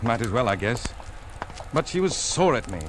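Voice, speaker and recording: An actor playing a nervous, evasive husband in a 1940s radio suspense drama, stammering and defensive. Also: male voice, medium pitch, sing-song, normal speed, natural voice. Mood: uneasy, defensive. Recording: vintage radio recording with background noise.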